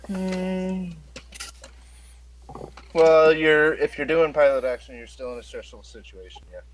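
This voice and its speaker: A man's voice: a little high, fairly even intonation, unhurried, slight background noise, low-quality recording. A man acting as game master, talking players through a tabletop role-playing game.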